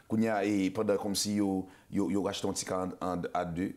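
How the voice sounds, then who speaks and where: A man's voice: sits low, level tone, brisk, darkish tone. A man with a Haitian accent hosting a casual talk show. He stammers and restarts a lot and mixes Creole and English words into his French. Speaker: show host.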